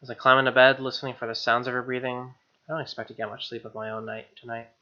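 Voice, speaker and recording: A man's voice: medium pitch, flat delivery, fast, sharp tone, highs cut off. A man reading a visual novel aloud as a gamer, mixing narration with informal, slangy asides. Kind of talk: dramatic reading with casual asides.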